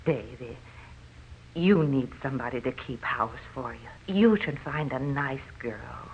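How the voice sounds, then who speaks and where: An actress playing a worried, homely old farm aunt, with folksy, pleading delivery in 1940s radio acting. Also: female voice, extremely low pitch, animated, measured pace, slight background noise. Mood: worried, coaxing. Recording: narrow, tinny vintage radio recording.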